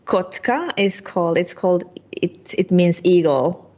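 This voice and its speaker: A woman in her thirties from Finland, answering interview questions in a casual, halting way with many fillers. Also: on the low side, darkish tone, dull audio. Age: 30s. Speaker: guest, partner sales director.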